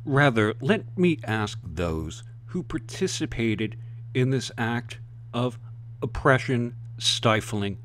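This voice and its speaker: A man's voice: medium pitch, expressive, slow pace, fairly bright tone, slight background noise. An American man delivering impassioned, emphatic scripted commentary with rhetorical flourishes. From United States. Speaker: online commentator.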